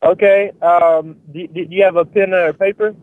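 Phone-call man, high pitch, halting speech, dry. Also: fairly even intonation, no echo. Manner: halting, repeats words. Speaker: church contact receiving a call. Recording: tinny phone line.

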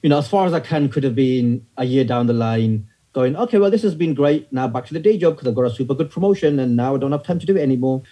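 A comedian in his thirties with a northern English accent, telling stories in a relaxed interview with wry, self-deprecating humor. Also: mid-range voice, quick pace, hardly any pauses, husky. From north of England. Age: 30s. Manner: wry storyteller, self-deprecating, many fillers. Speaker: stand-up comedian guest. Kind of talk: casual interview chat.

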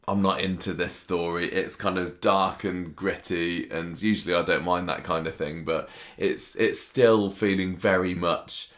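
Low-voiced man with a sore throat. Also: steady tone, a bit bright. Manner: mocking impressions of characters, halting restarts. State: sore throat, losing his voice. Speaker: soap opera podcast host. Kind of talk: casual recap chat.

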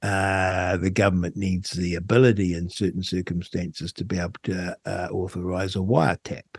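A man with a New Zealand accent talking in a casual radio discussion as host, with a hesitant, halting delivery. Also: sits low, little pitch movement, slightly rough, no echo, studio quality. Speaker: talk show host.

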